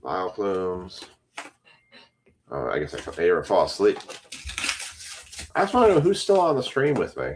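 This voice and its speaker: A man's voice: deep voice, sing-song, normal speed, long pauses, darkish tone, slight background noise. A man casually chatting on a live stream while opening trading card packs, rambling to his viewers.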